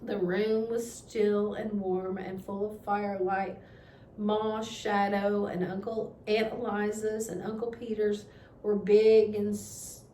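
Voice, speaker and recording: A woman reading a children's storybook aloud, deliberate and a little halting, repeating words. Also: medium pitch, a little mellow, recorded from a distance. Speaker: read-aloud reader. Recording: echoey room with background noise.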